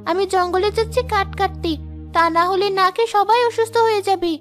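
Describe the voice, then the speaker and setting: Casting: woman as hardworking young cartoon village girl, very high childlike pitch, gentle earnest delivery, music bed behind. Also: steady tone, a little fast. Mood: worried, determined. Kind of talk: moral-story cartoon dub.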